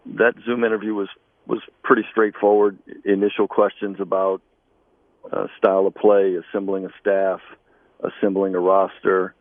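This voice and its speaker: A man speaking as an interviewed basketball coach, conversational and measured, with halting pauses. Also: low voice, no echo, phone-quality sound.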